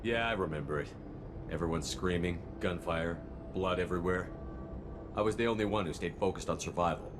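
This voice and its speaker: A male actor as a wary, resolute military commander in a sci-fi game. He talks quickly and bluntly in a low voice, with music clearly behind him. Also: lightly acted. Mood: resolute, sober. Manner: blunt, demanding. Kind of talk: video game cutscene acting.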